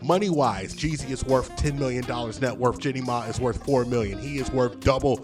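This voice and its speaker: A man's voice: mid-range voice, a little fast. A man giving opinion commentary in a played video, lecturing emphatically and hammering home each point. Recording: music bed under the voice.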